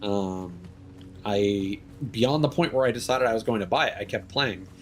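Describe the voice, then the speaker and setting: Low-voiced rambling male streamer. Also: normal speed, faint music behind. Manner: rambling, many fillers, reads item text aloud. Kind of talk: casual gameplay commentary.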